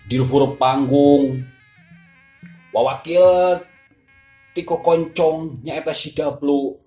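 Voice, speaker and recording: An echoey recording with music behind carries a Sundanese-accented storyteller. The delivery is very unhurried and polite, addressed straight to the listeners as a folk tale is presented. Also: medium pitch, dark tone. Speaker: storyteller and channel host. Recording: echoey voice over clear background music. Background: background music.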